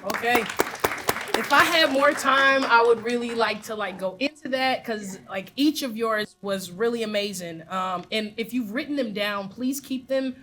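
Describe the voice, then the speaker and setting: A woman's voice: fairly high pitch, normal speed, pushed and bright, some chatter behind. A woman facilitating a community meeting on a handheld mic, upbeat and encouraging as she invites people to share. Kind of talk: live meeting hosting. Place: large meeting room with audience.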